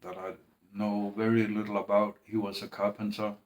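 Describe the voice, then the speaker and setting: A man speaking in a halting, hesitant way, with restarts and long pauses, in a personal monologue. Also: on the low side, flat delivery, poor audio. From Greenland. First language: Danish.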